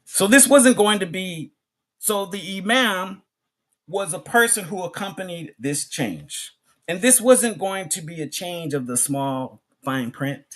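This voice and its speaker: Animated, higher-pitched man preaching. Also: unhurried. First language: English. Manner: emphatic, rhetorical questions and repetition for effect. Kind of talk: sermon, public religious lecture.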